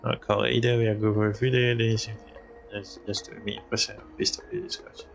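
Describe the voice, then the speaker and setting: A man's voice: fairly low pitch, flat delivery, fast talker, creaky, highs cut off, soft background music. A man streaming a game, casually reacting and muttering along in a loose, mumbled way. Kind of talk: casual reactions and reading along while playing.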